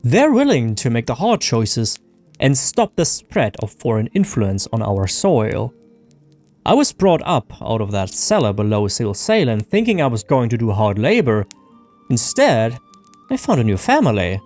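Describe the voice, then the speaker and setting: Narrator doing young freedom fighter recruit, low n solemn, earnest storybook voice, music under it. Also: big pitch swings, a little slow, darkish tone. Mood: earnest, resolute.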